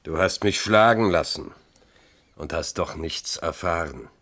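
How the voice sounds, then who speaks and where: An actor in a German radio play, playing a proud, weary older Native chief and father with a solemn, measured, declamatory delivery. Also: sits low, fairly bright tone, no room sound. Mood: defiant, solemn. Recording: clearly noisy old radio recording.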